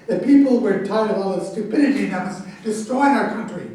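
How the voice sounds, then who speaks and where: Stage satire: male actor as pompous, defensive president, high voice, emphatic and boastful, distant mic. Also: brisk, darkish tone, lightly acted. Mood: proud, insistent. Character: blustering, defensive male president proud of absurd ideas. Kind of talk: staged reading of a satirical play. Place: echoey room, far from the microphone. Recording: very echoey, distant, noisy hall recording.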